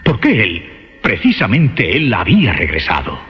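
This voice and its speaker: A man narrating a horror radio play in a theatrical, suspenseful way, with emphatic phrasing and dramatic pauses. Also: on the low side, huge pitch swings. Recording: dull lo-fi audio under music. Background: dramatic music.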